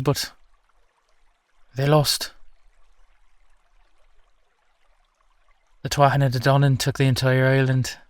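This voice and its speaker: A man's voice: mid-range voice, dry recording, lightly acted. A man playing a whimsical, boastful ancient salmon in human form, chattily telling old myths in an audio roleplay. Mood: wistful. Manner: chatty, colloquial, playfully indignant. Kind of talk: audio roleplay storytelling addressed to the listener.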